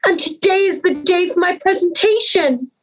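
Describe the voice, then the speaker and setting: A woman's voice: very high voice, animated, normal speed, poor audio. A young woman playing an earnest, anxious schoolgirl in an audio drama.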